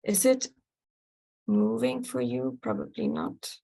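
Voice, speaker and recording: Creaky-voiced woman lecturing online. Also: a little high, steady tone, slow pace, mellow. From Germany. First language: German. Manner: hesitant, many fillers and restarts. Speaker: guest lecturer. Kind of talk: online workshop presentation. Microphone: video call.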